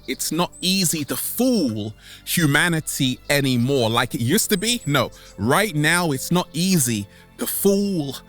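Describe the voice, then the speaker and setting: A man hosting a vlog as a comedic monologue to camera, theatrical, with dramatic pauses and repeated catchphrases. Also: fairly high pitch, fairly bright tone. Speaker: vlog host.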